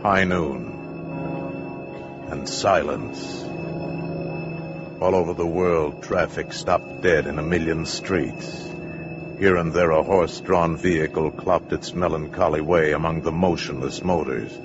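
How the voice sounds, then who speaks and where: An actor playing a solemn, dramatic narrator in a 1950s radio science fiction play. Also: male voice, very deep voice, fairly even intonation, a little slow. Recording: dull old 1950s radio recording. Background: loud dramatic music.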